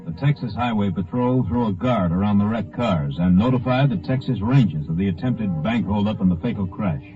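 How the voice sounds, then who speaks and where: Loud music plays behind a lowish-voiced American man on a dull old radio recording. He narrates a drama formally and factually, in scripted 1950s broadcast style. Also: expressive, a little fast. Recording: muffled vintage radio recording.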